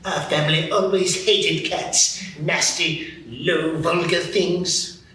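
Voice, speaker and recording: A woman's voice: fairly even intonation, slow, fairly bright tone. A woman voicing a touchy, haughty mouse who hates cats, in a live radio play. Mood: indignant. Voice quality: squeaky, haughty. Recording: roomy echo from far off.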